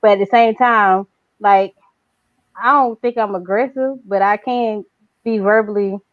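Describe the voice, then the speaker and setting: A woman in casual conversation who talks in long, rambling stretches full of fillers and pushes her naturally quiet voice louder. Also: medium pitch, steady tone, a little slow, dry recording, narrow, tinny audio. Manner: says her natural voice is very quiet but trains herself to speak louder. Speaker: guest. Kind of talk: casual chat.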